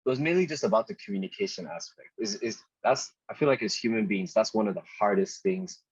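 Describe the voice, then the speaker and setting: Husky-voiced man chatting casually. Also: on the low side, fast talker, no echo. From Nigeria. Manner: relaxed and chatty, says "dude" and "man" a lot, frequent restarts. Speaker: podcast guest, product designer. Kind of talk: casual conversational interview. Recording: dull, slightly muffled podcast audio.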